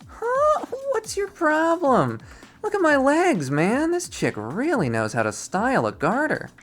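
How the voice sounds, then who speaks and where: A reader doing a cocky young man with a loud, mocking swagger. He talks crudely and brags, his voice jumping high and swinging all over the place. He is voiced from a visual novel readthrough. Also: huge pitch swings, measured pace, soft background music, heavily acted. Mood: indignant. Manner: teasing, crude and boastful. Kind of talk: visual novel read-aloud.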